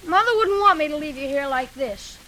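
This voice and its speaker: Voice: sits high, expressive, fairly quick, sharp tone, a little hiss or hum. A performer playing an earnest, worried young boy in an old radio drama, with a plain, boyish manner. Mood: earnest, concerned. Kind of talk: 1940s radio acting.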